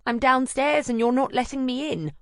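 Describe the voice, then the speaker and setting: A woman narrator puts on a shrill, excitable friend, her lines pitched well up and pushed loud. She is brash and fussy, firing off exclamations. The audiobook recording is dry and close. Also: normal speed, no room sound. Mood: chirpy, alarmed. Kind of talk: audiobook character voice.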